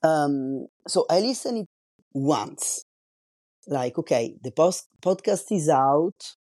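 A man with an Italian accent talks through a solo podcast at an unhurried, careful pace, stopping to hunt for words in a language that isn't his first. His voice is mellow and dark, recorded close and dry with no room sound. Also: on the high side, animated, no room sound. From Italy. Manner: slow, careful, searching for words. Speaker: podcast host.

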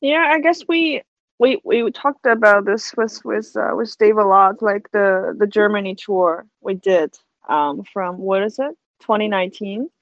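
A woman whose first language is Chinese, speaking English as a singer in a casual interview, hesitant and soft-spoken. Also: medium pitch, unhurried, no echo, highs cut off.

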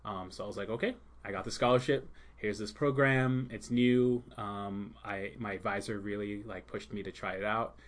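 A man born in Jamaica with a fairly low voice and no strong accent, talking in a relaxed podcast interview. He speaks softly and thoughtfully, filling pauses with ums as he searches for words. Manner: soft-spoken, many ums, thoughtful pauses. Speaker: school leader and art teacher.

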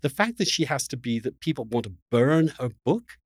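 A man hosting an impassioned conversational debate, haltingly repeating words as he builds his point. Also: mid-range voice, lively intonation, slightly rough, no room sound, clean studio sound. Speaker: interview host.

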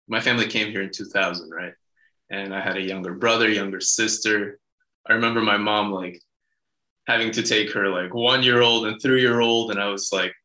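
A man in his late twenties, originally from Israel with Russian as his first language, telling his story as a podcast guest in a relaxed, filler-heavy way. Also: fairly low pitch, echoey room, low-quality recording. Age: 20s. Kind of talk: casual interview.

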